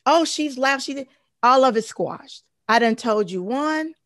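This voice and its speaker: A woman in her forties with a heavy, deep voice talks as an interview guest. She tells stories with emphasis and energy, leaning on repeated phrases. The sound is close and dry, with no room around it. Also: expressive, unhurried, a bit bright, no echo. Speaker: guest speaker and life coach. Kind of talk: casual interview conversation.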